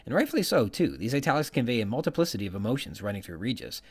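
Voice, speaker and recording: Male podcast host, medium pitch with very animated intonation, bookish, reading analytical notes and quotations. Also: very fast, slightly rough. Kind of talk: literary commentary reading from prepared notes, quoting passages.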